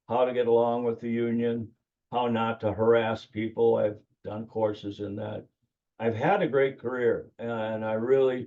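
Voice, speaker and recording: A man's voice: mid-range voice, dark tone. An American man in his seventies recalling his life informally in a plainspoken, meandering way as an interview guest. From United States. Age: 70s. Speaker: interview guest, retired air traffic controller. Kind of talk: informal personal recollection. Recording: dull, muffled lo-fi recording.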